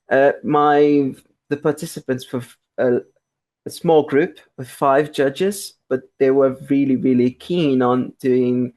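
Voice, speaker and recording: Brazilian man, halting and chatty. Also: medium pitch, darkish tone. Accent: Brazilian Portuguese. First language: Portuguese. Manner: halting, frequent restarts and fillers. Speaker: guest researcher. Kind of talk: conversational interview.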